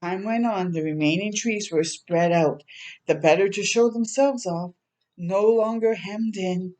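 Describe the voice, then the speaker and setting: A woman reading a picture book aloud to young children in a gentle, expressive storytime manner. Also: mid-range voice. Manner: gentle, expressive, prompting listeners with questions.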